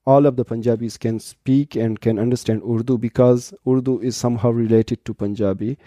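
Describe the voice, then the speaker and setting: A man's voice: medium pitch, steady tone, fairly quick, dark tone, no echo, clean studio sound. A man from Pakistan in his thirties, a native Pashto speaker, answering interview questions in English at length, explaining patiently with frequent fillers and restarts. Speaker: interview guest, doctoral student.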